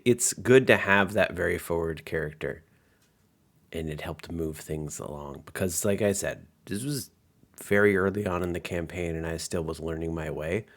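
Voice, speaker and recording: A man speaking informally and chattily as a game master, narrating and commenting on a tabletop campaign. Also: low voice.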